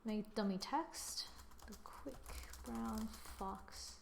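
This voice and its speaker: A young woman hosting a screen-recorded software tutorial, chatting through each step as she works at her computer. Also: on the high side, very slow, gravelly, noisy background. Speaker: tutorial host.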